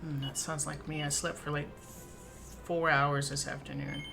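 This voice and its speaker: A woman's voice: low-pitched, flat delivery, moderate pace, gravelly. Chatty Minnesotan woman streaming. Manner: chatty, giggly, playful. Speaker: streamer playing a game. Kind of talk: casual gameplay chat. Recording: game music behind.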